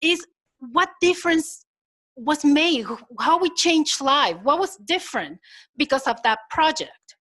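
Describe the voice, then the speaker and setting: A woman's voice: high-pitched, measured pace, rough recording. A woman with a Minnesotan accent giving a conference presentation, chatty and engaging, asking the audience rhetorical questions. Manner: chatty, acts out quotes in lively voices.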